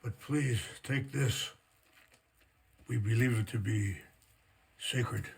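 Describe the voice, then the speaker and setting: Slow, gravelly, dignified older chief. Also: male voice, fairly low pitch. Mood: solemn, grateful. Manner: measured, solemn. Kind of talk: dramatic game acting.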